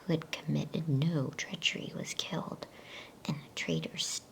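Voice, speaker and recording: Woman whispering as a slow, majestic gentle lion. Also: low-pitched, fairly even intonation, creaky, low-quality recording. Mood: solemn, wise. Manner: solemn, unhurried, whispered delivery. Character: great gentle majestic male lion, wise and calm. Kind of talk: whispered ASMR storybook reading.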